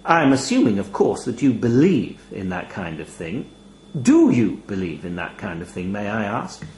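Guy doing a demon raven from a story, polite n chatty but menacing, swoopy pitch, echoey room. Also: sing-song, normal speed, a little mellow, a little hiss or hum. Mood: polite menace. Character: bird demon toll raven, courteous, sly, chillingly matter-of-fact bargainer. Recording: roomy, echoey voice recording.

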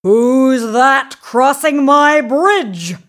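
A woman's voice: a little high, fairly even intonation, extremely slow, vocal fry, voice pushed up, full character voice. A woman voicing a big, hairy, furious male troll in a children's story, roaring and chanting in a menacing sing-song.

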